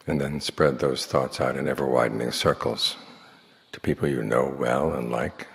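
A man speaking as a Buddhist monk, leading a guided meditation in slow, measured phrases with long pauses. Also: rumbling low, fairly even intonation, gravelly. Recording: long hall reverb.

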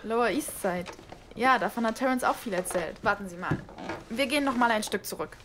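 A woman's voice: a little high, expressive, fairly quick, noisy background, natural voice. An actress as a hesitant, thoughtful woman. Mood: hesitant, curious. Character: thoughtful, reserved woman collaborating on a book. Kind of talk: naturalistic German audio drama acting.

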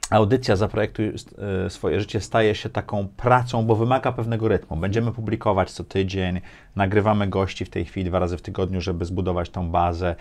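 A man's voice: deep voice, little pitch movement, fairly quick, a little mellow. A man in his forties hosting a podcast interview in a casual, conversational style. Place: small furnished studio room with bookshelves, indoors.

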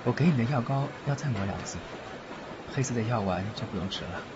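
Male actor as composed, knowing godfather, advising, audio drama, muffled sound, loud train rumble. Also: lively intonation, a little fast, close to an everyday voice. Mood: casual. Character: calm, knowing godfather, a ghost-fighting healer. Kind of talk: Chinese audio drama acting.

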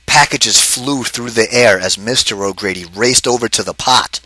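Bright-voiced man reading to kids. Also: lowish voice, normal speed, dry recording, rough recording. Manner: asks the kids questions between pages. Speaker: teacher reading aloud. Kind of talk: interactive read-aloud for children.

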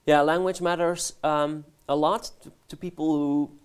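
A man's voice: on the high side, steady tone, clear, resonant tone. Dutch-accented male academic in his forties, stammering restarts, lapel mic in a studio. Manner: halting, many restarts and fillers, laughs easily. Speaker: guest researcher. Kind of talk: casual interview answers. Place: studio set.

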